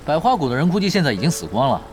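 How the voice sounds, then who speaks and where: Shrewd, teasing gamer, lively intonation. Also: male voice, a little high, rapid-fire. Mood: calm, friendly. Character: shrewd, easygoing male pro gamer scouting talent. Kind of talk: Mandarin audio drama acting. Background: prominent background music.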